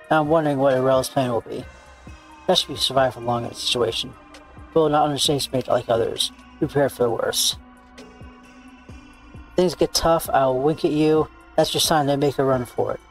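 A man's voice: mid-range voice, lightly acted. Man voicing a cocky, bossy gunslinger girl, music behind. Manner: boastful, blunt. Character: cocky, bossy young woman gunslinger and tracker. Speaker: streamer reading a visual novel aloud. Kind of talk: character read-aloud of game dialogue. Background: background music.

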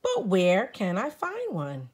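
A woman voicing an eager, curious young cat in a children's storybook, excitable and full of wonder. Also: medium pitch, slow pace, breathy edge, heavily acted.

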